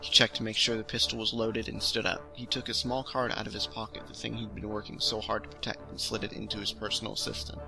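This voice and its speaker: Male amateur story narrator, lowish pitch with vocal fry, music bed underneath. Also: flat delivery, fast talker, hardly any pauses, rough recording. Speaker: storytelling host. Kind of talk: reading own short science fiction story aloud.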